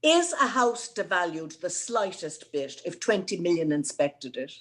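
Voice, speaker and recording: A woman playing an indignant, sharp-tongued young woman in a theatrical, scornful dramatic reading of comic verse. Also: fairly low pitch, very animated, measured pace. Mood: taunting. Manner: scornful, theatrical, emphatic delivery.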